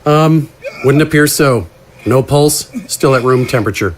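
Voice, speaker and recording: A man's voice: medium pitch, a little slow, close to an everyday voice. A man playing a deferential lab colleague in an audio drama, with faint background noise. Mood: hesitant.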